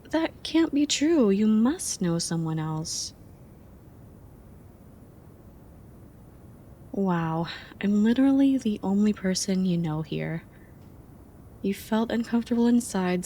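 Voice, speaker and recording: A young woman playing an affectionate, teasing friend in an intimate ASMR roleplay, speaking softly and expressively. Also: mid-range voice, moderate pace, slight background noise, lightly acted. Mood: surprised, playful. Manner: soft, playful, expressive.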